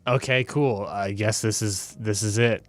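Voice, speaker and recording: A man's voice: fairly low pitch, steady tone, a little slow, no echo. A man chatting casually and swearing as a player on a tabletop game podcast. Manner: informal, sweary. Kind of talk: casual banter.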